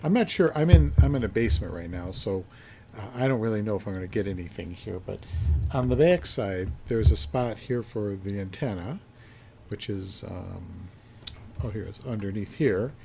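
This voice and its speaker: A man's voice: lowish voice, lively intonation, normal speed, creaky. A man giving a casual hands-on demonstration, musing and thinking aloud as he goes. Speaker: reseller reviewing a vintage find. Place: indoors, in a basement. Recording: faint background noise.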